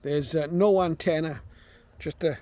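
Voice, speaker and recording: A man's voice: on the high side, measured pace, warm tone. A man giving an informal, matter-of-fact product demonstration, explaining things as he goes. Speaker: presenter of a radio receiver review.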